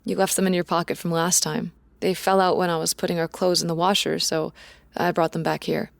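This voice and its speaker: An actress plays a young cat-eared woman in a fan audio drama, reserved and guarded. Her lowish voice has plenty of vocal fry and a very dry, close sound. She stays composed and understated, quietly caring. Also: flat delivery, fairly quick, no room sound. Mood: calm, gently teasing.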